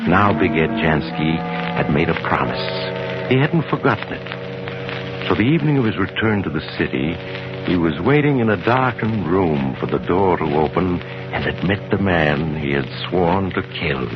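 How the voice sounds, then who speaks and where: An actor playing an ominous, solemn storyteller, narrating a radio suspense tale in a measured, dramatic way. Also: male voice, low voice, animated. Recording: muffled vintage radio recording. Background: loud dramatic music.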